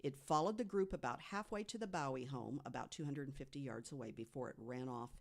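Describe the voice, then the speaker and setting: Podcast panelist, mid-range pitch, reading prepared stories, conversational asides. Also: fast, vocal fry.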